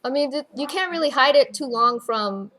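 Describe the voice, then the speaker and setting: A woman's voice: high voice, expressive, moderate pace, a bit bright, slight background noise. A woman giving her opinions in a casual fan discussion as a podcast panelist.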